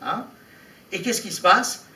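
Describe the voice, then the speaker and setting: Animated male lecturer in an echoey hall. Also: high-pitched, measured pace, slight background noise. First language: Arabic. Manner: animated, ironic asides, rhetorical questions. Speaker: professor lecturing at a conference. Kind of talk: academic lecture reading and commenting on translated texts. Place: large hall. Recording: echoey hall, mic at a distance.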